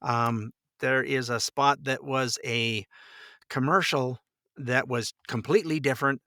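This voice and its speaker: A man's voice: medium pitch, steady tone, unhurried, pushed and bright, no room sound, studio quality. A man chatting casually as a podcast guest, with a lighthearted, folksy and jokey manner. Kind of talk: casual chat.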